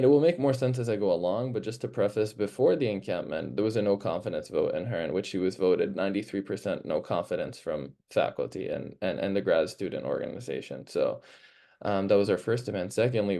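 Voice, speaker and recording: A young man from Palestine, a student organizer, speaking earnestly on an online panel, halting often with fillers and restarts. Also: on the low side, creaky, mellow. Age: young adult. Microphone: video call.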